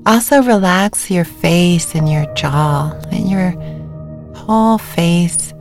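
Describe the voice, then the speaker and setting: Female meditation guide, low register, slow and gentle delivery with pauses, faint music bed. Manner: unhurried, gentle instruction with pauses. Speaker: meditation teacher.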